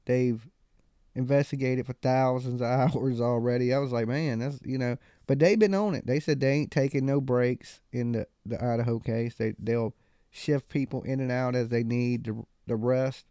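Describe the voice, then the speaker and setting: A man's voice: mid-range voice, steady tone, moderate pace, dark tone, smooth, no room sound, clean studio sound. Slangy man hosting a live call-in show. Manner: loose, slangy, emphatic delivery. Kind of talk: casual live-stream talk about crime cases.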